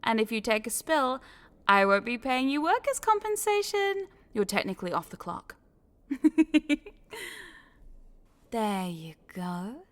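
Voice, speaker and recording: A woman's voice: on the high side, very animated, measured pace, long pauses, fairly bright tone. A woman voicing a scheming, smugly controlling corporate boss in a scripted audio roleplay, coaxing the listener in a condescending, teasing, businesslike way. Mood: amused, commanding. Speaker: voice actor.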